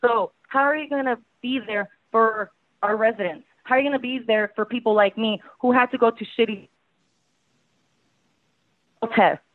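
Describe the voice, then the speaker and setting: A woman's voice: on the high side, normal speed, pauses often. A woman from Houston, a native Spanish speaker, making an impassioned public comment over the phone and pressing with questions. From Houston, Texas. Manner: urgent, pressing rhetorical questions. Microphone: phone call. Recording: tinny phone line.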